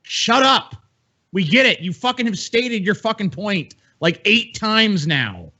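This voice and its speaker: A man with a slightly high voice co-hosts a podcast. He reacts casually and bluntly, swearing in exasperation. The recording is a little dull and muffled. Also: big pitch swings, measured pace, pushed and bright, a little hiss or hum. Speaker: podcast co-host.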